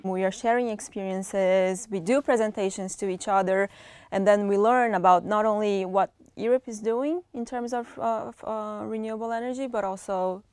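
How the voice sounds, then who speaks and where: A young woman from Brazil, a student, talking about her studies in a relaxed, conversational way in an indoor interview. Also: medium pitch, moderate pace, smooth, no room sound. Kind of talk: interview answers.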